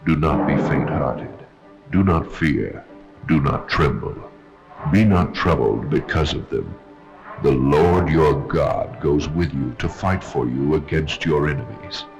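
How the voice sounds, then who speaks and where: Ancient priest, extremely low voice, solemn, commanding scripture recitation, music behind, harsh old film soundtrack. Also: male voice, slow, heavily acted, poor audio. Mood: solemn exhortation. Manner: grave, commanding. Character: solemn ancient priest exhorting Israel before battle.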